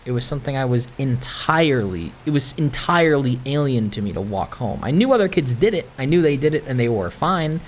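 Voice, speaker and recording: Young man, medium pitch, casual confessional gaming narration, halting, self-questioning, faint rain-like noise behind. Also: expressive. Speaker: gaming video maker. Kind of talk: casual rambling personal storytelling over gameplay.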